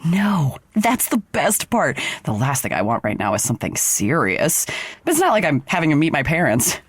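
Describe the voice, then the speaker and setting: A woman's voice: deep voice, wildly swooping pitch, moderate pace, slightly rough, no room sound, heavily acted. An actress playing an upbeat, chummy woman confiding eagerly in a friend in a scripted audio comedy. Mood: excited, gushing.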